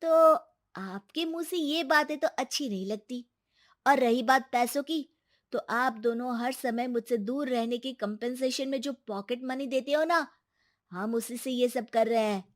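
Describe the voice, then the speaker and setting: A woman's voice: a little high, unhurried, voice pushed up, natural voice. A woman voicing a devoted schoolboy grandson in a story, speaking in an earnest, eager and insistent way. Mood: defiant.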